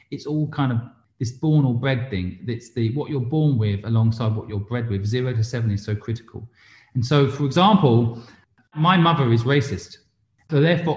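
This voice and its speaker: Man explaining ideas in a roomy, dull recording. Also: medium pitch. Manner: explanatory, uses rhetorical questions and tag questions. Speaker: interview guest, entrepreneur and investor. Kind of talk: conversational interview, giving business advice. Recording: roomy echo, slightly dull sound.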